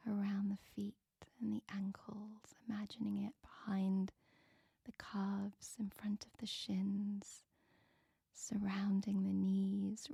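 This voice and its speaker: A woman softly whispering a guided meditation with slow, soothing breath counts. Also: mid-range voice, gravelly, breathy edge, no echo, studio quality.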